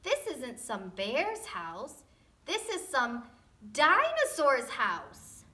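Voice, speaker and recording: A woman voicing a headstrong, greedy little girl from a storybook, with exaggerated, drawn-out delivery. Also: sits high, huge pitch swings, bright, forceful tone, pitched above the performer's own voice, full character voice. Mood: dawning realization.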